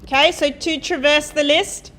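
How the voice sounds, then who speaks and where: A woman giving a live coding lecture, talking loudly and quizzing the class as she types. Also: sits high, fairly even intonation, moderate pace. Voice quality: loud voice. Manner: chatty, asks the class questions, thinks aloud while typing. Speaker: university programming lecturer. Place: lecture hall.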